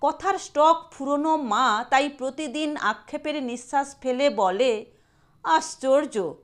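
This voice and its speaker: A high-pitched female storyteller reads literary fiction at a very slow, measured pace. Her narration is expressive, in the manner of an audio short story.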